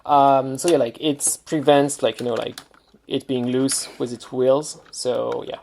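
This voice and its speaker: A man's voice: medium pitch, steady tone, measured pace, creaky, darkish tone, slight background noise. A man with a French accent and a rambling, hesitant delivery full of "like", giving a casual show-and-tell review at home. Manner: rambling, hesitant, heavy use of "like". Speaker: toy reviewer.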